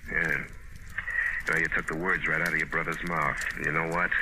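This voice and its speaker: A man's voice: a bass voice, little pitch movement, brisk, heavy background noise. A man playing a cynical, tough private detective in 1950s radio noir style, terse, wry and world-weary. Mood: wry, determined. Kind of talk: 1950s radio noir acting and second-person narration. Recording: old radio recording with music.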